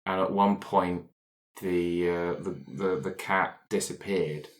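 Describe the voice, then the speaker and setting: A man telling his game character's backstory in a casual, deadpan way. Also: low-pitched, slow pace. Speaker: player in a tabletop roleplaying show.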